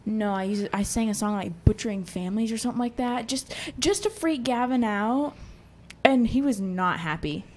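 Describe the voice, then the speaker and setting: A woman's voice: fairly high pitch, animated, normal speed. A woman hosting a casual chat show, playful and teasing, often giggling. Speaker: host.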